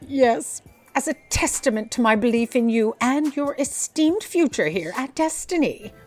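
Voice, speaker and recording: A woman's voice: high voice, lively intonation, a little slow, darkish tone, soft background music. A woman playing a haughty, forgetful editor-in-chief in a comedic audio drama, speaking formally and imperiously. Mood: graciously formal. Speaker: voice actor.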